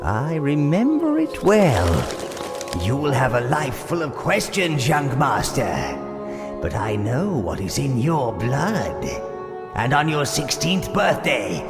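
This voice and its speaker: A man's voice: mid-range voice, very animated, takes it slowly. A man playing a gleeful, sinister old minion who serves evil, telling a fantasy game tale in a sly, theatrical way. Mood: sly, ominous. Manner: sly, theatrical, relishing every word. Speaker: narrator and advisor. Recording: loud music behind. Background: loud music.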